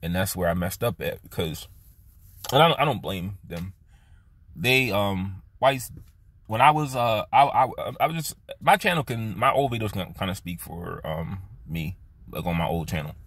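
A young man talking to a phone camera from inside a parked car, thinking aloud in a halting way, with restarts and fillers, his pitch jumping up on stressed words. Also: lively intonation, fairly bright tone. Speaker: vlogger. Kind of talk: casual opinion monologue to camera. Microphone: phone camera held at arm's length.

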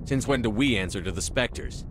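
A tough, no-nonsense male commander, acted by a man for a sci-fi game. He has a low voice and terse, blunt delivery. Clear ship noise runs behind him. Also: moderate pace, natural voice. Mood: blunt, guarded. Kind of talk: cinematic sci-fi game dialogue.

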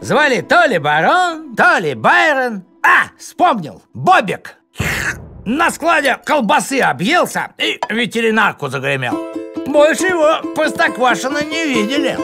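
A man's voice: very high voice, huge pitch swings, bright, forceful tone, exaggerated voice. Fussy, swooping old village postman. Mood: gossipy. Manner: rustic folksy speech, stammering, drawn-out words. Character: fussy, cowardly older male village postman. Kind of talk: animated cartoon acting. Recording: background music under the voice.

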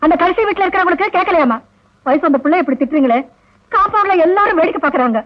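A woman's voice: extremely high pitch, lively intonation, very fast. An actress playing a fretful mother who pleads with her husband to stop scolding their son. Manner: pleading, defensive. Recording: muffled old film soundtrack.

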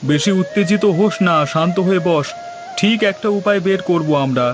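A man's voice: high-pitched, brisk, music in the background, natural voice. A man playing a resolute, reassuring village man in a fairy tale cartoon. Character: calm, resolute village man, Kishore the elder brother.

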